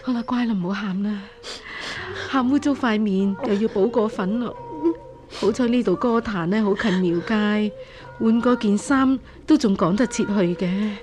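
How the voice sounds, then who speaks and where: 嘆住氣安慰人嘅香港中年養母，由女演員演繹. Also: female voice, mid-range voice, normal speed, a little mellow, soft background music, lightly acted. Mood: soothing. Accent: Hong Kong Cantonese. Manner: sighing, soothing, placating. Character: gentle, resigned middle-aged foster mother, timid peacemaker. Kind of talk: Cantonese radio drama acting.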